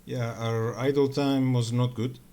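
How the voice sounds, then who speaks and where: A man's voice: medium pitch, flat delivery, a little slow, dark tone. Man streaming a strategy game. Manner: joking, thinking aloud while playing. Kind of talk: live gameplay commentary.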